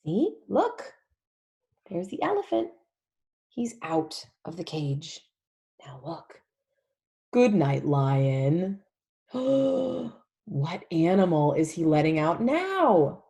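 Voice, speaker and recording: A woman leading an interactive storybook read-along for young children, animated and emphatic, using simple repeated phrases and questions. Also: fairly low pitch, drawn-out words, creaky, pauses often. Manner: animated, emphatic, often drops to a whisper.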